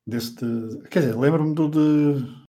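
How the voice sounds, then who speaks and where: A man's voice: fairly high pitch, unhurried, mellow. A man with a European Portuguese accent in a casual quiz chat, hesitant and often restarting his words. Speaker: quiz contestant.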